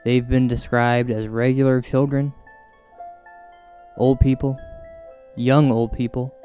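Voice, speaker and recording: A man hosting and narrating a spooky comedy as a paranormal investigator, mock-serious and deliberate in his storytelling. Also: mid-range voice, level tone, mellow. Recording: music bed under narration. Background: music.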